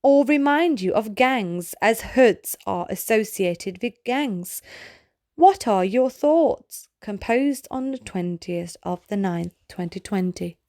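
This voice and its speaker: A woman reading a children's storybook aloud in a measured way, pausing phrase by phrase. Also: mid-range voice, expressive, mellow, no echo, studio quality. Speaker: read-aloud narrator of a children's book. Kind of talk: slow read-aloud of short storybook pieces.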